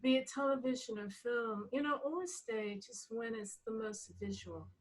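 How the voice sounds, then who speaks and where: A woman with a slightly husky, breath-worn voice talks as a writer in an interview. She thinks aloud, pausing and circling back to rephrase. Laughter comes readily into her speech. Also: a little high, expressive, low-quality recording. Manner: laughs readily while talking. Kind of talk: reflective interview conversation.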